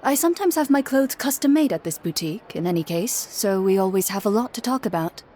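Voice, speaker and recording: A woman's voice: mid-range voice, lively intonation, brisk, slight background noise, lightly acted. A woman voicing a cool, composed female guardian in a video game, formal and matter-of-fact. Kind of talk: video game character dialogue.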